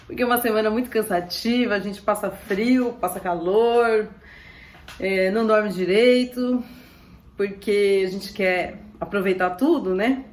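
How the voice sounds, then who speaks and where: A woman's voice: mid-range voice, measured pace. A woman from Ilhabela, chatting casually to camera about sailing while losing her voice and coughing. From Ilhabela, Brazil. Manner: chatty and upbeat, with frequent laughs and asides. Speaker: sailing vlogger.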